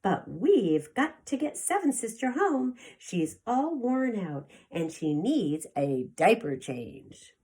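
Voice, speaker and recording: A bold young storybook heroine, played by a woman reading aloud, calling out with emphasis. The pitch is lifted and swings widely. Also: big pitch swings, takes it slowly, few pauses. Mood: reassuring. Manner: emphatic calls and shouts.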